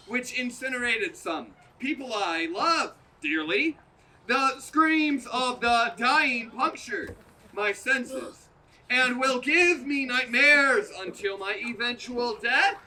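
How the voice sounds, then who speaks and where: A solemn, traumatized husband played by a man on stage, with a very high, piercing voice. He gives stilted, melodramatic testimony slowly and haltingly. The sound is distant from the mic, outdoors. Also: sharp tone, heavily acted. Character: traumatized, solemn mayor's husband giving eyewitness account. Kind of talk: comic stage radio play. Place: outdoors in a parking lot.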